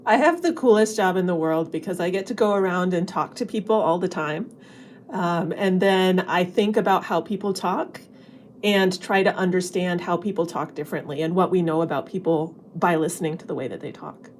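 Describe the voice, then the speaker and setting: A woman's voice: on the low side, normal speed, a little mellow, slight background noise. A woman linguistics professor explaining ideas conversationally to children, with casual fillers. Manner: chatty, with fillers.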